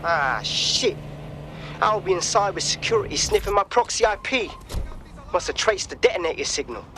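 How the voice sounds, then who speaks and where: A man's voice: high-pitched, expressive, moderate pace, pushed and bright, a little hiss or hum. An actor playing an edgy male hacker, terse and muttering. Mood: annoyed.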